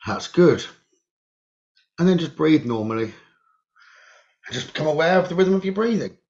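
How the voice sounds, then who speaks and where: A man leading a guided meditation in a slow, soothing instructional style, speaking in measured, hushed short phrases with pauses. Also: on the high side, expressive, dull audio.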